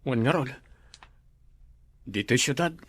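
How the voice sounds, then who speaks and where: A man's voice: mid-range voice, expressive, slow, fairly bright tone. A man playing an anguished grown son worried sick about a missing loved one in a radio drama. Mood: distraught, worried. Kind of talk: Ilocano radio drama acting.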